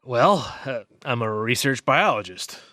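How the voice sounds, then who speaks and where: A man's voice: mid-range voice, expressive, unhurried, bright, forceful tone, no echo, clean studio sound. A man playing an insistent, knowing research biologist in an audio drama, explaining things emphatically.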